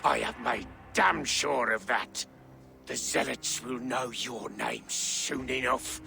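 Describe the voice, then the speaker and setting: Haughty captive king, slow, gravelly. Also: medium pitch, pushed and bright, heavily acted. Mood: cold menace. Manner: haughty, vengeful, dramatic. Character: proud, embittered captive king, indignant and threatening. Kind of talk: video game cutscene acting.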